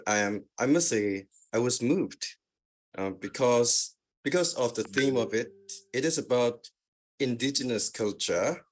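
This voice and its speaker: Slow, hesitant man. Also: mid-range voice. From Myanmar. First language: Karen. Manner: hesitant, many fillers and restarts. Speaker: guest speaker. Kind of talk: webinar presentation. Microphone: video call.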